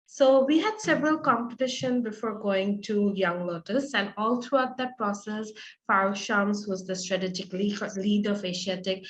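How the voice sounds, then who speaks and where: Female interview guest, Bangladeshi accent, mid-pitched conversational delivery, very roomy sound. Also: moderate pace. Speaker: interview guest, advertising creative. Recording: echoey room.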